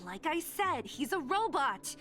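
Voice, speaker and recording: Blunt, animated young game heroine. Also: female voice, high-pitched, a little slow, faint music behind, full character voice. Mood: indignant, insistent. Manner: confrontational, pressing, informal. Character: blunt, hot-headed, suspicious young woman fighter. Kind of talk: video game voice acting.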